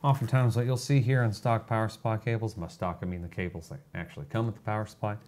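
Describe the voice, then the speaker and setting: A man's voice: lowish voice, fairly even intonation, fast talker, darkish tone, a little hiss or hum. A man building a gaming computer on a live stream, joking dryly with his co-host. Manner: teasing, dry humor. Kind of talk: casual live-stream banter.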